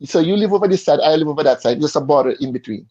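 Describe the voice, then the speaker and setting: A man's voice: on the high side, animated, rapid-fire, mellow. A man with a Jamaican accent talking casually as an interview guest, often repeating words as he searches for them. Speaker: interview guest, insurance advisor. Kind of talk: casual conversational interview answers. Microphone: online call. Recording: dull lo-fi online call audio.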